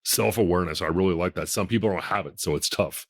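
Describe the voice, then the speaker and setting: A man in his fifties with a deep voice, hosting a podcast in a blunt, emphatic, self-assured way. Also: quick pace. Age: 50s. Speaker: podcast host. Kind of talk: casual podcast conversation.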